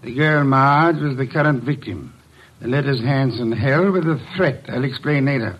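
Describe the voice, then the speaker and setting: An actor playing an imperious detective who speaks formally and precisely, in old-time radio mystery style. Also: male voice, medium pitch, moderate pace, lightly acted. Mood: stern, deliberate. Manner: commanding, formal, precise diction. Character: imperious, deliberate male detective, a mastermind unmasking a killer. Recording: muffled, dull old radio recording.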